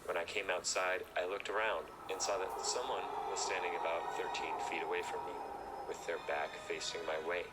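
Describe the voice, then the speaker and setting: Very deep-voiced man narrating horror, noisy recording. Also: little pitch movement, brisk. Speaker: narrator reading a horror story. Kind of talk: scripted first-person horror narration.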